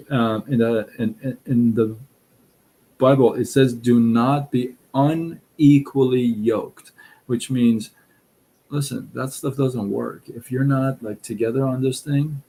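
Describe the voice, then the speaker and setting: A middle-aged man with a Persian accent talks slowly through casual live-stream advice, his voice gravelly at the edges. He rambles easily, stopping and restarting often. His pitch sits at an ordinary middle level for a man. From Iran. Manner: relaxed, rambling, frequent fillers and restarts.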